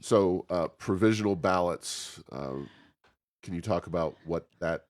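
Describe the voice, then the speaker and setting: A man conducting a conversational interview, asking questions in a halting, hesitant way. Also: low voice. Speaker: podcast interviewer.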